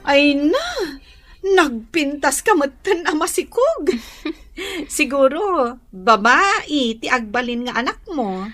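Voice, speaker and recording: Animated, high-voiced Ilocano woman friend. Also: slow pace, exaggerated voice. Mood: chatty, eager. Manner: animated, chatty, encouraging. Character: supportive young woman friend of pregnant single mother. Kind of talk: radio drama acting.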